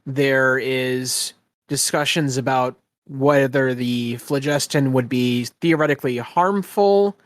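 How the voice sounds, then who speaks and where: A man acting as game master, improvising descriptions for a tabletop fantasy game in a halting, piecemeal way. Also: medium pitch, no room sound.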